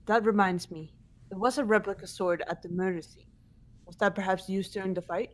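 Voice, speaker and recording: A woman voicing a composed, analytical teenage girl in a fan-dubbed mystery game, measured and matter-of-fact. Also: medium pitch, steady tone, natural voice. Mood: calm, analytical.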